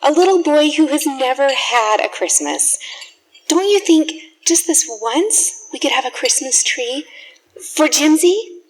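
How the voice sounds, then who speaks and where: A woman playing a gentle, meek, pleading wife of a banker in a radio drama. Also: high-pitched, very animated, measured pace. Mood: pleading, resigned. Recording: distant, reverberant hall.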